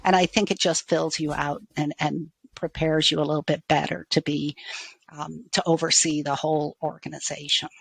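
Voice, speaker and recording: A middle-aged woman speaks in a fairly low voice with a faint Irish accent. She answers conversationally, circling back to rephrase. The sound is very dry, with no room echo. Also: level tone, normal speed, gravelly, husky. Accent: faded Irish. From Dublin, Ireland. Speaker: university IT executive, interview guest.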